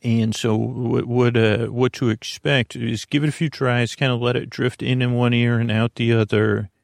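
A man talks in a low-key, meandering ramble, stopping and correcting himself as he drifts from point to point. His voice has a gravelly edge, and it sits close and dry with no room sound. It suits a bedtime podcast host who is in no hurry. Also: level tone, moderate pace, dry recording. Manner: meandering, full of hesitations and self-corrections.

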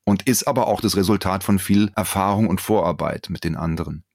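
A man's voice: low-pitched, brisk, gravelly, a little mellow, no echo, clean studio sound. A man being interviewed as a performer, talking casually and thoughtfully as he explains his work. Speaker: interviewed voice actor and live performer. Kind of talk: casual interview talk, anecdotes.

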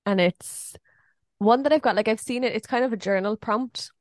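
A woman co-hosting a podcast in a chatty, giggly way, her voice a little deeper than usual after a night out. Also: normal speed, no room sound, studio quality. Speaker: podcast co-host. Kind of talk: casual chat. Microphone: podcast microphone.

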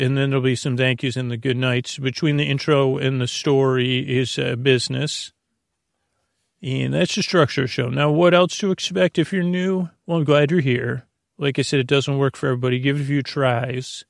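A man hosting a bedtime podcast, rambling in a halting, meandering, self-interrupting way with a creaky voice. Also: medium pitch, dry recording, clean studio sound. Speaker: podcast host.

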